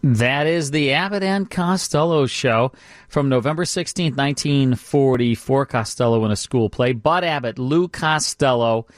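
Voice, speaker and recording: An American man hosts a radio show in a casual, chatty promotional style. His pitch is average and the studio sound is dry. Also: normal speed, no room sound.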